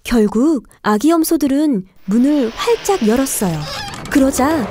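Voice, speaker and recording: A woman narrating a children's fairy tale, telling it clearly for young listeners. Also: high voice, animated, measured pace, heavy background noise. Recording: faint music behind the voice.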